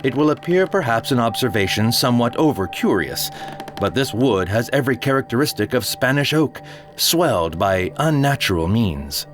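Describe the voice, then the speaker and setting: A man's voice: medium pitch, very animated. Solemn man narrating over music. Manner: measured, solemn first-person storytelling. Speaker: narrator of an audio drama. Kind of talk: one-man dramatic reading of a period tale.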